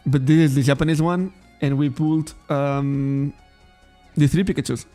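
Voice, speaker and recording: A man's voice: a little high, steady tone, normal speed, dark tone, faint music behind. A man from Spain, a native Catalan speaker with a Catalan accent in English, chatting casually on a card shop livestream, rambling, correcting himself and laughing often. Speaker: trading card shop streamer.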